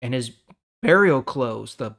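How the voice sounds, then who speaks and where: A man with a mid-range voice hosts a devotional Bible reading. He moves between storytelling and casual commentary, leaning on key words with dramatic pauses. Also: mid-range voice, lively intonation, creaky, fairly bright tone. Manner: conversational, dramatic pauses and emphasis. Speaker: host of a Bible reading series.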